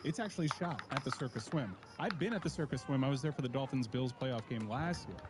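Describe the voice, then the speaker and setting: An enthusiastic man bantering on a sports talk show in a mid-pitched voice. The studio recording carries noticeable noise. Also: animated, fast talker. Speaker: show regular.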